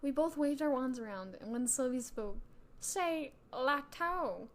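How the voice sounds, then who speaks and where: High-voiced woman reading and reacting playfully. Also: brisk, mellow. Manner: playful, self-interrupting. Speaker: podcast co-host. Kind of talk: reading a story aloud with casual mocking reactions. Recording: faint background noise.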